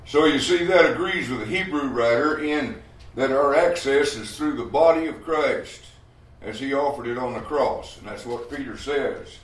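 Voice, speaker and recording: A man teaching a Bible class in a loud, emphatic preaching voice, often asking his listeners rhetorical questions. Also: medium pitch, normal speed, distant mic. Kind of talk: Bible study sermon. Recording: roomy hall, mic from afar, faint noise.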